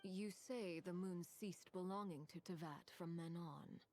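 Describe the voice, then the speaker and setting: A woman playing a serious, probing character in a fantasy game, speaking formally, gravely and deliberately. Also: on the low side, a little mellow. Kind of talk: dramatic fantasy game dialogue.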